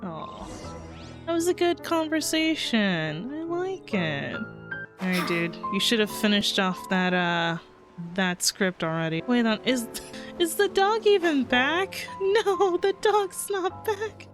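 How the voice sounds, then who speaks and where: A woman streamer reacting to a story game in a chatty, casual way and swearing now and then. She talks slowly, with a lively, animated voice that rises and falls a lot, and soft music plays in the background. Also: fairly high pitch. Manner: chatty, swears, laughs often. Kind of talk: casual gaming commentary.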